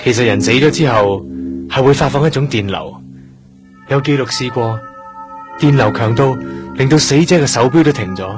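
A man with a Hong Kong accent playing an earnest, curious young trainee doctor in a radio drama, explaining things in a measured way. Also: medium pitch, over music, close to an everyday voice. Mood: earnest, explanatory. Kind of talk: Cantonese radio drama acting, explanatory dialogue.

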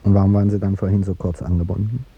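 An actor playing an ageing, courtly president in a 1950s radio drama, rhetorical and wistful, with a husky, creaky, dark voice. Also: male voice, low voice, little pitch movement, rapid-fire, heavily acted. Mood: curious. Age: older. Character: ageing male president, courteous, wistful, grandiloquent café guest. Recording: muffled old 1950s radio recording.

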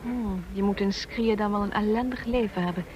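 An actress playing a thoughtful, intuitive office colleague, earnest and a little hesitant, in a radio play. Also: female voice, mid-range voice, moderate pace, darkish tone, dull audio, natural voice. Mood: thoughtful, opinionated. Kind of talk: Dutch radio play acting. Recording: noisy old radio play recording.

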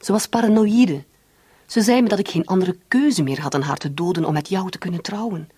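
A woman's voice: fairly low pitch, fairly quick, mellow, breathy edge, no room sound. An actress playing an anguished, lovelorn woman in a radio drama, speaking with confessional intensity. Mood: insistent. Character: anguished woman secretly in love with her brother-in-law.

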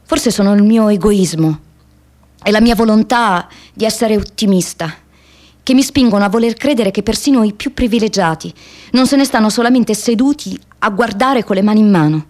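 A woman's voice: medium pitch, moderate pace, a little mellow, natural voice. An actress playing an idealistic, disillusioned young woman activist in a radio theatre monologue, speaking in an earnest, reflective, confiding way.

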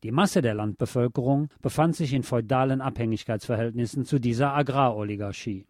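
Mellow male documentary narrator, dry sound. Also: mid-range voice, fairly quick, dry recording. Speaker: radio narrator.

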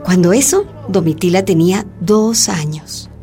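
A woman's voice: lowish voice, animated, unhurried, warm tone, faint music behind. A woman playing a courteous, inquisitive journalist who narrates a radio drama in a polite storytelling manner. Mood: narrating.

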